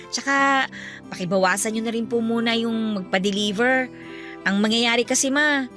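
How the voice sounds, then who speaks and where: A woman's voice: on the high side, a bit bright, natural voice. A young woman playing a dutiful, weary daughter in a Filipino radio drama, respectful and pleading but aggrieved. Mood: meek, pleading. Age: young adult.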